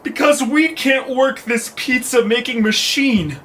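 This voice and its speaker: A man's voice: very high voice, unhurried, fairly bright tone. A man voicing a bossy, hungry, self-important troll in an audio drama. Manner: pompous, demanding. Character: bossy, hungry pizza troll leader, arrogant and picky. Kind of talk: cartoonish audio drama acting. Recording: faint background noise.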